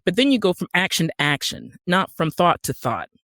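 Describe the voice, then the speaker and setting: Podcast guest: American male, slightly high pitch, conversational explainer, very dry studio-like sound. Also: big pitch swings, a little fast, fairly bright tone, dry recording. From Utah, USA. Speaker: guest, actor and screenwriter.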